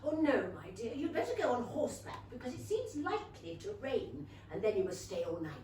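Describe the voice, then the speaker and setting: A woman's voice: a little high, big pitch swings, brisk, darkish tone, recorded from a distance. An actress playing a fussy, scheming Regency mother in a theatrical period comedy. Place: small London theatre. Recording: roomy stage pickup from afar, noisy.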